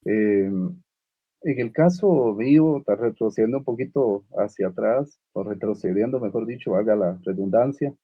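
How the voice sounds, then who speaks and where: A man from Guatemala whose first language is Uspanteko, speaking on a literary panel with many pauses, fillers and restarts. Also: fairly low pitch, husky, no echo, narrow, tinny audio. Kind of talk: unscripted panel talk.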